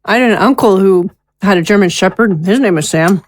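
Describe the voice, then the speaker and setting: A woman's voice: mid-range voice, fairly quick, no room sound, natural voice. An actress playing a bossy, impulsive, childish wife in her thirties in an audio drama, with a demanding, emphatic delivery. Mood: bossy, scornful.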